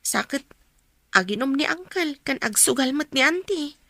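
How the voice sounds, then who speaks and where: A woman's voice: high voice, animated, normal speed, a bit bright, dry recording. A woman acting a dutiful young student daughter in an Ilocano radio drama, earnest and respectful, with a Filipino accent. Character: young female student, dutiful daughter, plaintive but resolute.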